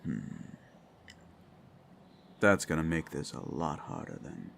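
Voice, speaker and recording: A man playing a gruff, weary demon investigator of the paranormal, thinking out loud in an improvised audio roleplay. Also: a bass voice, very animated, slow, a little hiss or hum, full character voice. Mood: pensive, probing. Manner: musing, conversational, trailing questions. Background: background music.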